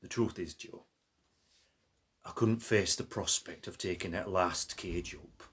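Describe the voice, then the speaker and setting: A man's voice: sits low, unhurried, fairly bright tone, husky, heavily acted. An actor with a Northern English accent playing a loyal, wry former pitman in a naturalistic radio play, plain-spoken and dryly humorous. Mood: warm, joking.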